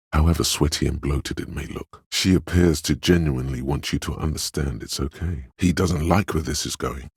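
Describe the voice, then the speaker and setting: An actor voicing a grave, compassionate inner voice in a video game, narrating with measured, solemn deliberation in a husky, gravelly tone. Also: male voice, a bass voice, dry recording, clean studio sound, exaggerated voice. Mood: somber, knowing. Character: Empathy, a man's inner voice, grave, compassionate, knowing.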